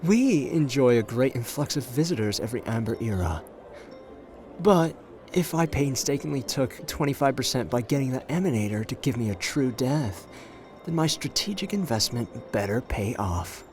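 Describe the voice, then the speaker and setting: A man's voice: medium pitch, lively intonation, a little mellow, faint music behind, natural voice. A man playing a suave, flirtatious, confident gambler in an ASMR roleplay audio drama, teasing and intimate in the way he talks. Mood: playful, confident. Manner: teasing, intimate, drawn-out phrasing with pet names.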